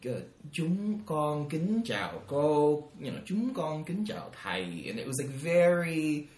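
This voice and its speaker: A man's voice: on the high side, big pitch swings, a little slow, a little mellow, roomy sound. A man guesting on a podcast, chatting casually with many likes and ums, recorded in a living room. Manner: rambling, lots of likes and ums. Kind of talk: casual chat.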